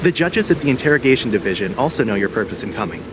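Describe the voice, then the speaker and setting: An actor playing a polite, formal official who receives guests in a video game. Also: male voice, mid-range voice, sing-song, racing, noisy background, natural voice. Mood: polite, welcoming. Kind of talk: English game voice acting. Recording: echoey hall with background ambience.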